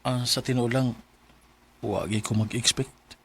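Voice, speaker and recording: An earnest young man with a Cebuano Filipino accent. He speaks sincerely and devotedly at a middle pitch, in the style of Philippine radio drama. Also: little pitch movement, measured pace, slightly rough. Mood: earnest, tender.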